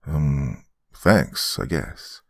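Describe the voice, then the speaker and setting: A man playing a brooding, gruff, vengeful man who softens for a child, in a dramatic audio story. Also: rumbling low, expressive, drawn-out words, no echo, studio quality, voice pushed down, heavily acted. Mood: weary, gentle.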